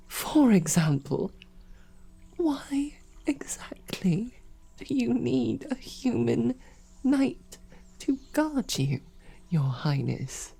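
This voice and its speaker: A woman playing a husky, snarky lady knight. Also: mid-range voice, exaggerated voice. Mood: exasperated. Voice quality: soft, often whispery. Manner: formal, dry, sarcastic. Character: snarky, composed female royal knight, young adult. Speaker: audio roleplay performer. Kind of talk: audio roleplay for a listener.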